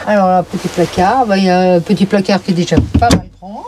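A woman's voice: lowish voice, fast talker, noisy background. A woman chatting cheerfully as she points out the features of a camper van, recorded inside the van. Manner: cheerful, chatty, listing features with small words. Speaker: vlogger touring a camper van. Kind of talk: casual walkthrough commentary.

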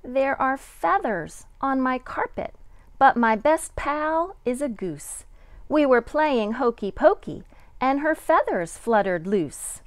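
A middle-aged woman, a kindergarten teacher, reading a picture book aloud to young children with deliberate, sing-song dramatic emphasis. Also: high voice, lively intonation, no room sound.

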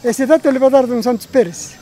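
A man's voice: very high-pitched, brisk, warm tone, a little hiss or hum. An older man with a Peruvian accent, recalling the past casually in plain, repetitive phrases. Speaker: villager giving testimony. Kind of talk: casual oral recollection.

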